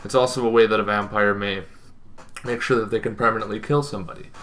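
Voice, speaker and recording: A young man talking casually to camera, explaining things in an unscripted way with emphatic phrasing and pauses. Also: fairly low pitch, fairly even intonation, fairly bright tone. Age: young adult. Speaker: tabletop gaming video host. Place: small bedroom, indoors.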